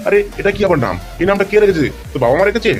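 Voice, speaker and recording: A man's voice: sits high, animated, rapid-fire, soft background music. A man voicing a bossy, patronizing schoolteacher in an amateur animal cartoon comedy. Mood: questioning, bossy.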